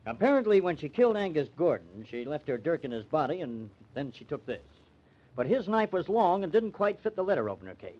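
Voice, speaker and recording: An actor with an American accent playing a cool, cunning small-town lawyer with a killer's streak in an old-time radio drama. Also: male voice, fairly high pitch, big pitch swings, fairly quick. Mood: assured, accusing. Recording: dull old radio recording.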